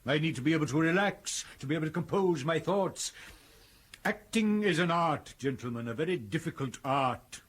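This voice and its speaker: Vain old-school stage star, British, pompous, irascible, theatrical, higher-pitched, husky edge, radio play. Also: male voice, animated, normal speed. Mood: haughty, peeved. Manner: pompous, declamatory. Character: vain, irascible Victorian stage actor, grandly outraged. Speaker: actor in a radio drama. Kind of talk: theatrical radio drama acting.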